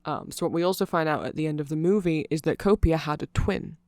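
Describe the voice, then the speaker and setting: A man's voice: fairly high pitch, quick pace, darkish tone, no echo, clean studio sound, lightly acted. A man voicing a nerdy vampire who gives a rambling, earnest and fannish ASMR explanation full of fillers. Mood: earnest, sympathetic.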